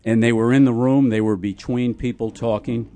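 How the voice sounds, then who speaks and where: An older man talking casually as a radio host, explaining things in a deliberate way. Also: mid-range voice, steady tone, mellow.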